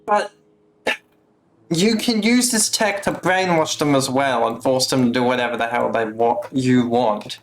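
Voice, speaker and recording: Slow-paced, blunt male game streamer. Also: medium pitch, slight background noise. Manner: blunt, sweary. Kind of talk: casual live gameplay commentary.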